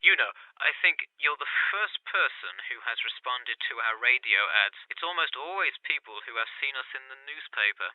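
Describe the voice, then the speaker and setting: A man's voice: mid-range voice, expressive, normal speed, few pauses, no room sound. A man playing a polite, businesslike employer in a scripted phone interview, heard through a telephone filter. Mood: friendly, businesslike. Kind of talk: scripted listening-test dialogue. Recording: thin, narrow telephone line.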